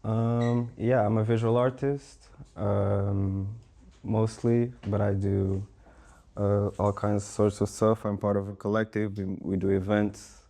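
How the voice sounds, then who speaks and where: Deep, flat-voiced young man, relaxed. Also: a little slow. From Portugal. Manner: relaxed, rambling, many fillers. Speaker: visual artist, panel guest. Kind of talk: casual conversational talk.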